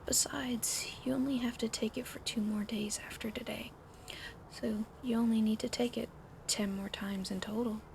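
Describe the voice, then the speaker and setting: A woman whispering softly in an ASMR roleplay, caring but gently bossy, recorded close to the mic at home with a fan running behind her. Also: fairly high pitch, steady tone, normal speed, creaky. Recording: fan noise in background.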